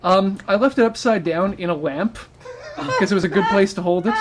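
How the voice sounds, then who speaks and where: A man's voice: sits high, animated, normal speed, background noise. A man telling casual, humorous stories to an audience on a livestream. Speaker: storyteller on a charity livestream.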